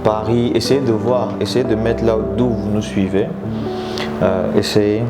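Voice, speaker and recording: A man's voice: fairly low pitch, flat delivery, measured pace. A man from Congo, a public speaking coach hosting a live stream, teaching in numbered points. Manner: teaching in numbered points, urging viewers to share. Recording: echoey room with loud guitar music behind. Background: loud guitar music.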